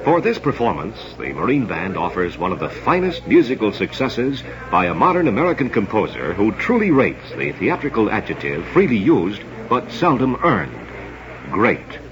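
A man's voice: on the low side, sing-song, moderate pace. A man hosting an old-time radio theatre program with formal, theatrical showmanship. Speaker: radio show host and announcer. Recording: dull old radio recording, crowd noise. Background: murmuring crowd.

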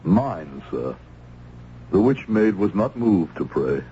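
Deep, solemn old-radio minister. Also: male voice, big pitch swings, warm tone, full character voice. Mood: solemn, stern. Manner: grave, measured, preacherly. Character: solemn, stern but troubled minister of compassion. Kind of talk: old-time radio drama acting. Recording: dull, noisy old radio recording.

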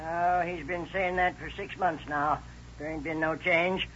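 A man's voice: fairly high pitch, steady tone, unhurried, a bit bright. An actor playing a half-deaf, grumbling farmer in his nineties in a folksy 1930s radio drama style. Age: 90s. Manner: folksy, querulous. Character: half-deaf nonagenarian farmer, grumbling about hard times. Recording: tinny, noisy 1930s radio recording.

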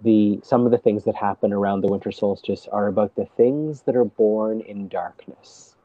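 A man telling stories as a guest, talking in a hesitant, halting way with many restarts, seated at home on a video call. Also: on the low side, no room sound, phone-quality sound. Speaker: storyteller guest. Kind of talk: casual conversation.

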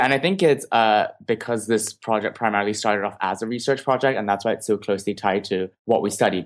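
A young man in his twenties, a startup cofounder, giving measured answers in an interview with some hesitation. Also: on the low side.

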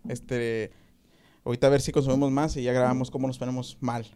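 A man's voice: mid-range voice, level tone, a little fast, mellow, smooth. A man with a Mexican Spanish accent hosting a casual podcast, interviewing a guest with jokes and crude slang. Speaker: podcast host.

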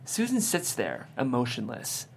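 Lively-pitched screenplay narrator. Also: measured pace, gravelly, a little hiss or hum, poor audio. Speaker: stage-direction narrator. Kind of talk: scripted narration of screenplay action.

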